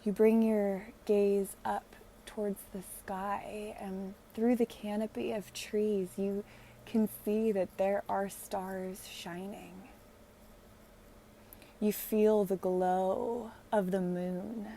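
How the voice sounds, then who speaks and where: A woman leading a guided meditation in a gentle, hushed, soothing way, leaving long pauses. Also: medium pitch, flat delivery, a little hiss or hum.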